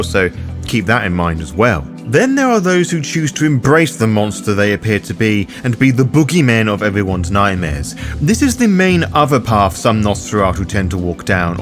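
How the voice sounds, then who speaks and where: A man narrates a scripted guide as its host. His mid-pitched voice rises and falls in an animated way, with a wry, informal manner. Music plays behind him. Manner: wry, casually sweary asides. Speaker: host of a roleplaying game guide.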